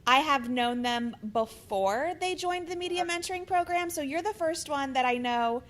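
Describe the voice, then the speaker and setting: A woman's voice: high-pitched, moderate pace, fairly bright tone. A woman hosting a podcast and coaching clients on media, talking in an enthusiastic, promotional way. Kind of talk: upbeat podcast interview.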